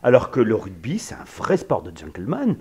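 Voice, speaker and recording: A man from Spain co-hosting a casual podcast, holding forth with strong opinions. His pitch swings widely as he talks, and his delivery is animated and expressive. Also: normal speed. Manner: animated, opinionated, mimics people in passing. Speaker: podcast co-host.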